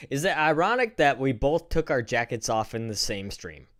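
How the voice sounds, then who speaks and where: Man in his thirties, streamer, mid-pitched, lively swings in pitch, rambling and swearing. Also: normal speed. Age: 30s. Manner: animated, rambling, profane. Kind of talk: casual live-stream commentary.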